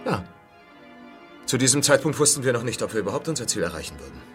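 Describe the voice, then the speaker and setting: A man's voice: medium pitch, very animated, fast talker, fairly bright tone, soft background music. An actor playing a world-weary space traveller who narrates his mission in a radio play, dry, wry and laconic. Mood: matter-of-fact.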